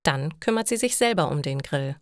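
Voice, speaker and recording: A woman's voice: sits low, animated, normal speed, dry recording. Woman narrator reading a learner's story, dry sound. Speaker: narrator of German learning stories.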